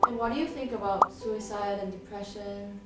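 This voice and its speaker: A woman interviewer asking short, soft questions from off camera. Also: medium pitch, steady tone, moderate pace, over loud music. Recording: roomy off-mic voice.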